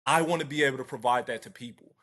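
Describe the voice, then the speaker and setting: A man giving conversational interview answers as a guest, in a halting, thoughtful and self-effacing manner. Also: medium pitch, a bit bright. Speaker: interview guest, car dealership internet director.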